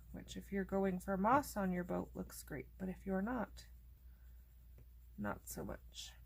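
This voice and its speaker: A woman host casually narrating a coloring tutorial, chatty and relaxed, thinking aloud as she works, and losing her voice. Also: medium pitch, gravelly, husky.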